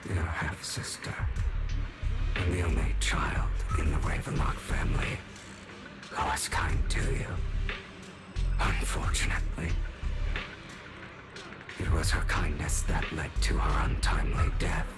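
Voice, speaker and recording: An actor playing a man's sinister inner self in a video game, measured, coldly taunting and self-assured. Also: rumbling low, steady tone. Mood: cold, reproachful. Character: sinister dark inner self of a guilt-ridden man. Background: loud game music.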